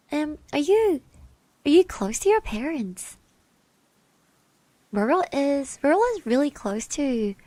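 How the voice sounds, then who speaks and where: Woman doing a sweet shy girl classmate, asmr roleplay, really slow n soft, hesitant, very dry sound. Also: fairly high pitch, no echo, full character voice. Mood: shy curiosity. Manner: hesitant, gentle, refers to herself in third person.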